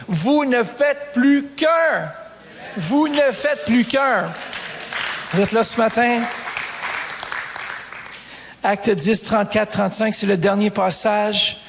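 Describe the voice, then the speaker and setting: A man's voice: high-pitched, slow, long pauses, long reverb tail, a faint crowd, a little hiss or hum. A man, preaching a sermon as pastor, calling out to the congregation with rising emphasis. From Canada.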